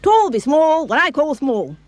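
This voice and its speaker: A woman's voice: high voice, big pitch swings, measured pace, noisy background. A woman voicing an eager, bumbling little cartoon busybody girl, fussy and politely old-fashioned. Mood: excited. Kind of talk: fan-dubbed children's cartoon acting.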